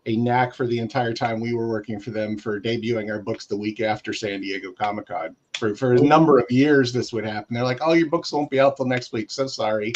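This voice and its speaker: A man's voice: medium pitch, fairly quick, hardly any pauses, muffled recording, a little hiss or hum. A man speaking casually as a guest on a panel of comics creators.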